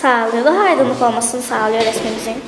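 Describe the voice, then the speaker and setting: A woman's voice: fairly high pitch, brisk, a loud crowd. A schoolgirl eagerly narrating the making of a class cartoon, like a presenter. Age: child. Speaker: student presenter. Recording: echoey classroom with faint noise.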